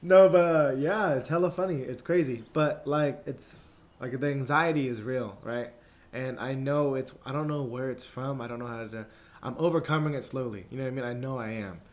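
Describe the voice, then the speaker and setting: A young man hosts a podcast in an easygoing, slangy way, leaning on "like" and "you know what I mean". His voice sits in an ordinary middle pitch. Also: normal speed. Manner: laid-back slangy talk, lots of "like" and "you know". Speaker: podcast host. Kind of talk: casual podcast chat.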